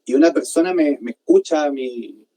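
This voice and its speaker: A man with a Chilean accent, hosting an interview and chatting in a lively, friendly way. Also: on the high side, moderate pace, poor audio. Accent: Chilean Spanish. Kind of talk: casual interview chat.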